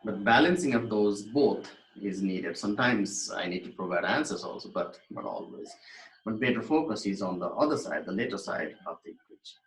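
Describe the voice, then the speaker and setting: A man, lecturing on a recording in a fairly low voice. His explanations are thoughtful and broken by fillers and restarts, and the room adds a noticeable echo. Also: expressive, normal speed. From Nepal. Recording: echoey room.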